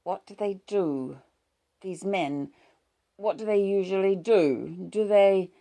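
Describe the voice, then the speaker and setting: A woman voicing a distraught mother who turns sharp and accusing, her voice yelping into shouts. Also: expressive, a little slow. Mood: frantic. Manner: shrill, breaking into yells.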